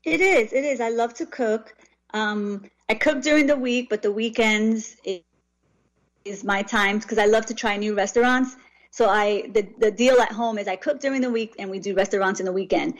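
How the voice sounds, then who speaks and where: A woman in her thirties, from New York, answering interview questions in a relaxed, reflective way. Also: fairly high pitch, moderate pace. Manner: relaxed, reflective, frequent restarts. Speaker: author and guest.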